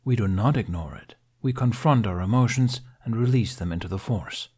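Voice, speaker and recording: A man reads as a stern, calm male mentor in an audiobook. His voice is on the low side, and he speaks in a measured, restrained way. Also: husky. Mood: calm, patient. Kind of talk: audiobook character voice.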